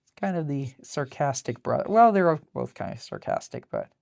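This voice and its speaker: Deep-voiced contralto woman, dry close sound. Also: wildly swooping pitch, normal speed, gravelly, darkish tone, no room sound. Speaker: cartoonist hosting a live drawing stream. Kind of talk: casual chat while drawing.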